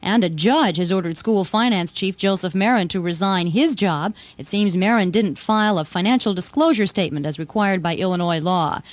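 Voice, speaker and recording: A woman's voice: medium pitch, dry recording. An American woman reading scripted news as a radio anchor. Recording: 1980 radio broadcast aircheck.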